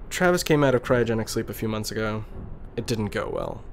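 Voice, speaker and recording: A man's voice: lowish voice, huge pitch swings, moderate pace, vocal fry, a little hiss or hum, full character voice. A man acting in an audio drama as a protective, placating spaceship crewmate with an earnest, conciliatory manner. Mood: concerned, earnest.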